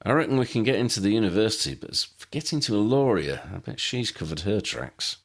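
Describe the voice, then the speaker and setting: Male narrator as magical investigator, low voice, matter-of-fact, casual, thinking aloud, storybook dialogue. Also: sing-song, fast talker.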